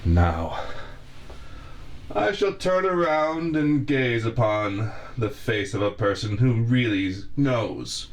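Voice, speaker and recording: A man playing a slow, pompous torturer. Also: medium pitch, lively intonation. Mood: menacing. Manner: grandiose, formal villain speech. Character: pompous middle-aged male torturer, theatrical villain. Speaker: ASMR roleplay performer. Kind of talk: ASMR roleplay. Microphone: binaural microphone.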